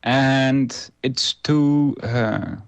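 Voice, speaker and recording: A man's voice: medium pitch, very slow, creaky, smooth. A man in his forties, a native Dutch speaker, hosting a solo internet radio show and talking it through unscripted, with many filler uhs and restarts. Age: 40s.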